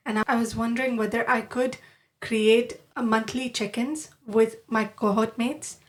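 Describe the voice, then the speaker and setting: A young woman who grew up in the Middle East, hosting an interview in an attentive, encouraging way with frequent affirmations. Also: a little high. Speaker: podcast host. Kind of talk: conversational interview.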